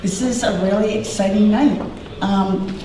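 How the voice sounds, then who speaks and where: A woman hosting a community event and speaking loudly to an audience, chatty and rambling, with many fillers. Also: medium pitch, fairly even intonation, measured pace. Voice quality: loud voice. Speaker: event host and diver. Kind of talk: casual public speech to an audience. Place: large echoey hall with an audience. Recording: echoey hall, voice from far off, faint noise.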